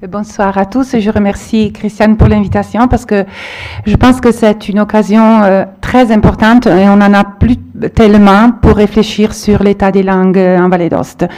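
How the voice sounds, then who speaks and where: A middle-aged woman from the Aosta Valley, a primary school teacher, giving a reflective, halting testimony to a panel. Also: medium pitch, fairly even intonation, mellow. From Aosta Valley, Italy. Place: public hall with audience.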